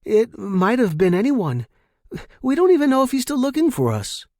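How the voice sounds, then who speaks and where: A man's voice: very high-pitched, huge pitch swings, moderate pace, a little mellow, no room sound, studio quality, voice pushed up, heavily acted. A man voicing an anxious, protective male fugitive in a storybook drama, urgent and trying to reassure. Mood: pleading, light. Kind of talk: storybook dialogue in dramatic fiction.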